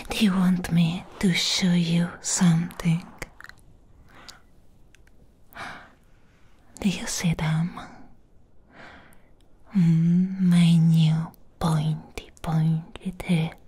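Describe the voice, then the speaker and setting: Breathy, whispering seductive vampire woman, acted by a woman. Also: on the low side, little pitch movement, warm tone, exaggerated voice. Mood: teasing, coy. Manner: slow, teasing, intimate delivery with drawn-out words. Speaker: ASMR roleplay performer. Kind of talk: soft whispered ASMR roleplay. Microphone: close mic.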